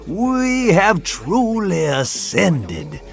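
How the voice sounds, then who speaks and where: An actor playing an eccentric, scheming old mystic in a video game, speaking in a theatrical, preachy way. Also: male voice, high voice, huge pitch swings, drawn-out words, creaky, faint music behind, heavily acted. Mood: grandiose pleading. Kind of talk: video game character acting.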